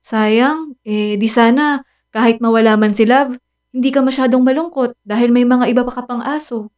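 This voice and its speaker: An actress with a Filipino accent playing a frank, practical, mildly sympathetic friend in a radio drama. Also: female voice, a little high, fairly even intonation, moderate pace, a little mellow, lightly acted. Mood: matter-of-fact. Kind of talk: Tagalog radio drama acting.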